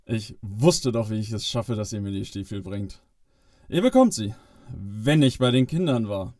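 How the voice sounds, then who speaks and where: A man's voice: fairly low pitch, animated, moderate pace, darkish tone, no echo, clean studio sound. A man playing a sly, vain cousin of Santa, eager and boastful, in a family Christmas audio play. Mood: smug.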